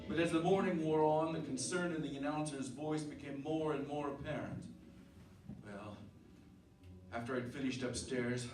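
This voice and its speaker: A man's voice: medium pitch, natural voice. A man acting a tense, grim, protective husband and father in a radio drama, also narrating in the first person. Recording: roomy, distant radio-play recording with loud music.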